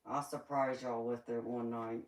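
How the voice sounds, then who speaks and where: A woman's voice: a bass voice, level tone, measured pace, low-quality recording. A young woman with a Southern accent, hosting a casual livestream, chatting with viewers, laughing often and answering their comments. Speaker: tarot reader and livestream host.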